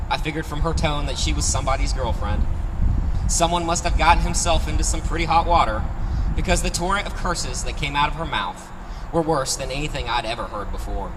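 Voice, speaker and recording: A man reads his own short story to a live gathering in a conversational narrative style. His voice is on the low-middle side of ordinary and comes from a distance, with long reverb. Noise runs clearly behind him. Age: 30s. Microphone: handheld microphone, picked up from afar.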